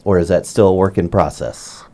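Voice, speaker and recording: A man's voice: low voice, normal speed, mellow. A man hosting a school podcast, asking casual, joking interview questions with plenty of fillers. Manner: friendly, jokey questions with fillers.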